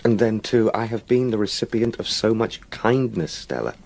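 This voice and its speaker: An actor playing an earnest young English gentleman recovering from fever, polite and formal, in a period radio drama. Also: on the low side, normal speed, creaky. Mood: reflective, earnest.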